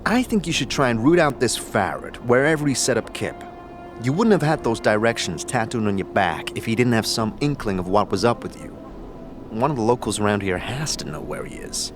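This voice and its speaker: A man's voice: medium pitch, lively intonation, a little fast, music in the background, close to an everyday voice. A man playing a chatty, slangy, wisecracking sidekick who guides someone through a strange city in a fantasy audio drama. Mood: practical. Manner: chatty, slangy, hesitant explainer.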